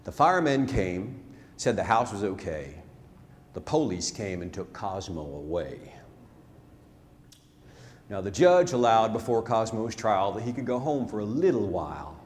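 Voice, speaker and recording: A male storyteller performs a rhyming ballad poem live in a theatre. His delivery is deliberate and dramatic, moving animatedly from raised cries to hushed lows. The hall rings with long reverb, and there is some noise in the recording. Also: big pitch swings. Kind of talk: theatrical rhyming verse recitation on stage.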